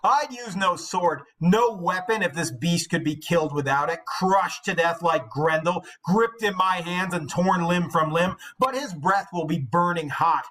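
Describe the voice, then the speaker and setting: A man voicing an aged, fearless warrior king in a slow, emphatic dramatic reading of epic poetry. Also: sits high, sharp tone, voice pushed up, poor audio. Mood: defiant boast. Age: older.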